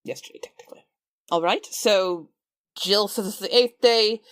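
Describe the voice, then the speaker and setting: A woman with a mid-pitched voice plays a tabletop roleplaying game in a dry, close recording. She moves between narrating, reading aloud and casual, self-correcting table talk. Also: normal speed, clean tone, no echo. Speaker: player in a tabletop roleplaying podcast.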